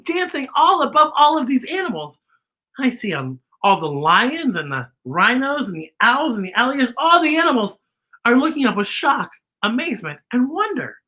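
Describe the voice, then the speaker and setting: A woman hosting children's story time, reading a picture book expressively and deliberately explaining it to young listeners. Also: sits high, big pitch swings, bright, forceful tone, slightly rough.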